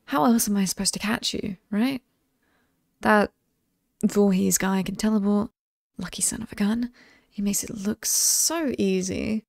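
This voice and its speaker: A woman plays a British slasher killer who is oddly sweet and apologetic. Her voice is creaky and close to the mic in a dry space. She chats wryly, as if confiding to one listener in an ASMR roleplay. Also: mid-range voice, a little mellow, no room sound. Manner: conversational, wry, hesitant asides. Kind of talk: ASMR villain roleplay monologue.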